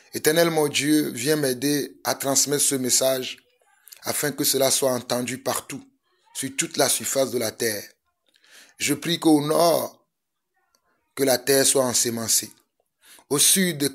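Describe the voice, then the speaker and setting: A man from Togo, preaching a fervent sermon that mixes prayer with urgent exhortation and repetition. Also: mid-range voice. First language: a Togolese language.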